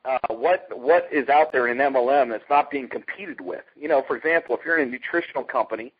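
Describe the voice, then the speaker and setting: An American man, middle-aged, giving an informal, emphatic sales pitch as a network marketer, telling stories and asking rhetorical questions. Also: fast talker, husky. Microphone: telephone conference call. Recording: muffled, dull conference phone line.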